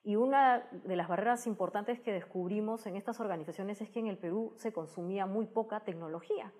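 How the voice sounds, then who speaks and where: A woman's voice: medium pitch, a little fast, a little mellow. Peruvian woman explaining in conversation. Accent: Peruvian Spanish. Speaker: interview guest, science communicator. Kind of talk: conversational interview answers.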